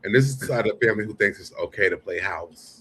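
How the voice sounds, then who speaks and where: A low-pitched man hosts a live commentary show. He talks casually and bluntly, with swearing mixed freely into his remarks. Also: quick pace, bright, forceful tone, husky. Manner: blunt, profane. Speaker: show host. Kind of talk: casual live commentary over played clips.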